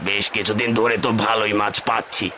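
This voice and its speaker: Humble cartoon fisherman husband, male actor, slightly low voice, watery ambient noise behind. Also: flat delivery, fast, bright, forceful tone. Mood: cheerful. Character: poor, devoted village fisherman husband. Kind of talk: cartoon voice acting.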